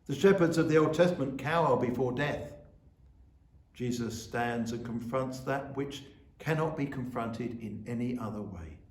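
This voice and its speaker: A man giving a reflective scripted sermon as a church officiant, solemn and measured in his phrasing. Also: mid-range voice, a little mellow. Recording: echoey room, distant microphone.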